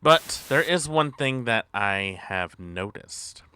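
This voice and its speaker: A man streaming and chatting casually as he reacts to videos, with halting, drawn-out phrasing. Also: mid-range voice, expressive, pushed and bright, clean tone.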